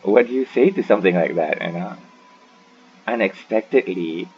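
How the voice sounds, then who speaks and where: A man giving casual, halting and rambling commentary as he plays through a game. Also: low voice, expressive. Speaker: gaming video host. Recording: muffled mic with faint noise.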